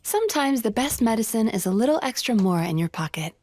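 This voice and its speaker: High, lilting sweet healer. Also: female voice, very animated, brisk, a little mellow. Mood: sweet, pleased. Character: soothing, sweet young woman healer, game character.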